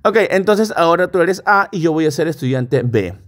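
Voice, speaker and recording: High-voiced, animated Peruvian man teaching. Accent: Peruvian Spanish. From Peru. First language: Spanish. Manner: slow, deliberate modelling of phrases. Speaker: English teacher, online course host. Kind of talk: step-by-step language lesson with repetition drills.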